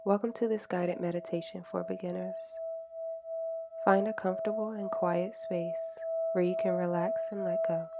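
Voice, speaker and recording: Calm woman guiding a meditation over music. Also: on the low side. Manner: calm, gentle, soothing delivery. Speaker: guided meditation instructor. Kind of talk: slow, scripted guided meditation. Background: meditation music with singing bowls.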